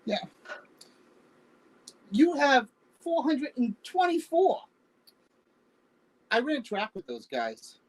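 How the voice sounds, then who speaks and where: Slow, lively defensive spouse played by a woman. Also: sits high, exaggerated voice, low-quality recording. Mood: defensive, offhand. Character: defensive, playful long-married spouse. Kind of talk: amateur staged reading of a domestic comedy.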